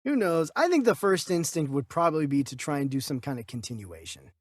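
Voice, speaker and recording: A man with a voice a little higher than average co-hosts a casual movie news chat. The sound is dry, with hardly any room around him. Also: very animated, brisk, no echo. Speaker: podcast co-host.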